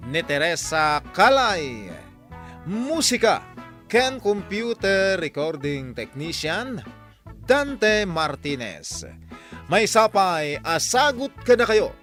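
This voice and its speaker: A bright, high-voiced Ilocano man delivers dramatic radio announcements over music. He takes his time, giving each scripted phrase a sweeping rise and fall.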